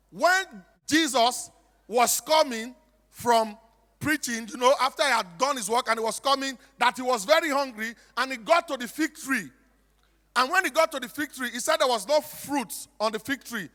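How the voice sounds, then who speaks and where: High-pitched Nigerian man addressing an echoing hall. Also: sharp tone. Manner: emphatic, repetitive, with rhetorical questions. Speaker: stage speaker and comic storyteller. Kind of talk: animated preaching-style storytelling to an audience. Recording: echoey hall with long reverb.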